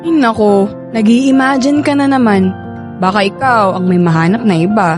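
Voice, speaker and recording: A woman's voice: medium pitch, moderate pace, over music, natural voice. An actress playing a loving, fretful young Filipina girlfriend in a radio drama, speaking tenderly and pleadingly. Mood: teasing, trusting.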